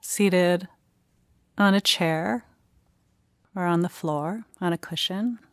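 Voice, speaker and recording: A woman leading a guided meditation, speaking in short, gentle phrases with unhurried pauses. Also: lowish voice, no room sound, clean studio sound.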